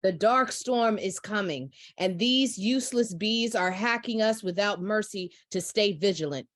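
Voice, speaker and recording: Woman acting motherly rebel commander, slow measured delivery, solemn, exhorting, dry sound, staged reading. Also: medium pitch, dry recording, natural voice. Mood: stern warning. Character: commanding maternal resistance leader rallying her women. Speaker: actor in a script read. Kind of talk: live staged script reading.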